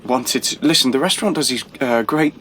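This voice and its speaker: A man's voice: mid-range voice, a little fast, bright, forceful tone, slight background noise, lightly acted. An actor playing a nervous, hesitant young British man in an audio play, stumbling over his words. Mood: awkward, eager. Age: young adult. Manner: hesitant, stammering, self-interrupting. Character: awkward, nervous young man, eager and self-reproaching. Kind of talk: dramatic monologue and dialogue, audio play.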